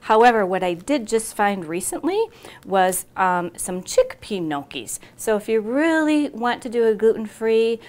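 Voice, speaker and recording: A woman's voice: a little high, very animated, measured pace, darkish tone. A middle-aged woman hosting a cooking show in a home kitchen, chatting casually through each step with frequent ums and restarts.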